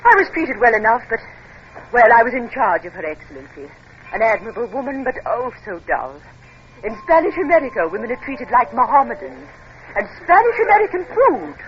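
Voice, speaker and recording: A woman's voice: fairly high pitch, sing-song, a little fast. An actress playing a poised, spirited aristocratic English lady, gracious and refined, in a 1950s radio drama. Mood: delighted, gracious. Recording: dull, noisy 1950s radio recording.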